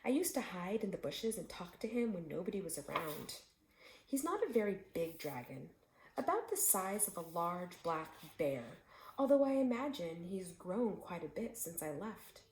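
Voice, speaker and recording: Woman reading as an earnest old storybook cat, animated. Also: on the low side, normal speed, reverberant, low-quality recording. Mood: patient, earnest. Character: old female cat, patient, kindly storyteller.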